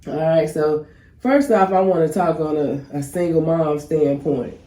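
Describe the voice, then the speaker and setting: A woman's voice: low-pitched, measured pace, warm tone, roomy sound. A woman with a congested voice and a slight cough, co-hosting a casual relationship talk show and chatting straight to her listeners. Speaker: podcast co-host.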